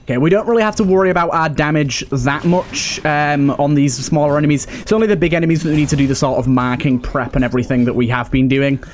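A man's voice: a little high, fast talker, few pauses, slight background noise. A British man giving casual let's play commentary, thinking aloud and addressing the characters on screen as he goes.

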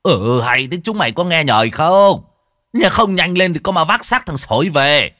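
Man doing a quick-tempered old shaman for a spoken tale: loud, raised voice, dry close mic. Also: lowish voice, big pitch swings, no echo, full character voice. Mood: bossy. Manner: barking orders, loud and gruff. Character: bossy older male village shaman, quick-tempered.

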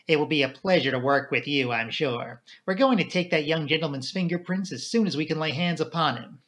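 A male narrator acts out a cocksure English police inspector in a detective audiobook. He is curt and brusque, with little patience for amateurs, in a slightly raised pitch. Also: brisk, a bit bright, close to an everyday voice. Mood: softened respect. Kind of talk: audiobook character dialogue.

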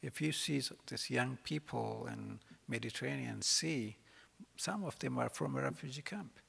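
Gravelly, husky man in a hall. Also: medium pitch, a bit bright. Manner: earnest, halting with restarts. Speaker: keynote speaker. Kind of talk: lecture. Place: large college concert hall. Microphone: podium mic. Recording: long hall reverb.

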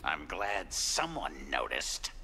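An actor playing a gleefully menacing masked slasher killer in a video game, gravelly and teasing, drawing out his words. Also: deep voice, huge pitch swings, pushed and bright, a little hiss or hum, full character voice. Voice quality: gravelly, breathy at times. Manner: sinister, teasing, drawn-out delivery. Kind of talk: video game villain acting.

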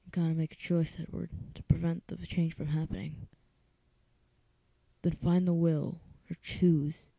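A woman's voice: sits low, level tone, a little slow, gravelly, warm tone, no room sound, exaggerated voice. A woman voicing an authoritative adult male vampire patriarch, firm and earnest, in an audiobook audition. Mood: urgent.

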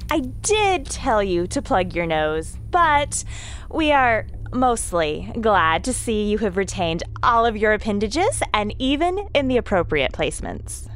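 A woman's voice: mid-range voice, sing-song, measured pace, a little hiss or hum. A woman playing a daffy, cheerfully creepy alien co-host in an audio drama, teasing, oddly formal and naively curious. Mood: gleeful, creepy.

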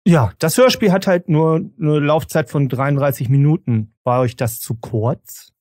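A man's voice: fairly high pitch, unhurried, a little mellow, no room sound, studio quality. A man moderating a casual panel discussion on a review podcast.

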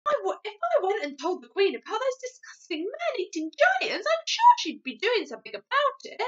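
A woman's voice: extremely high pitch, huge pitch swings, fairly quick, fairly bright tone, voice pushed up, full character voice. A woman voicing a passionate, determined and indignant little girl in a storybook, crying out with emphasis. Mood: excited, determined. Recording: dull lo-fi recording.

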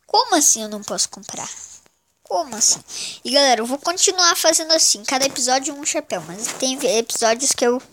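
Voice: sits high, fairly bright tone. A child hosting a casual mobile game commentary, sounding congested with a cold. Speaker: gaming channel host.

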